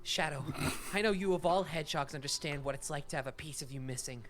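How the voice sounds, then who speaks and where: A man playing a confused, beseeching hero in a comedic fan dub, speaking earnestly and haltingly. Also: a little high, a bit bright, soft background music, close to an everyday voice. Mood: troubled, halting. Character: pleading, confused male hero, hedgehog turned human.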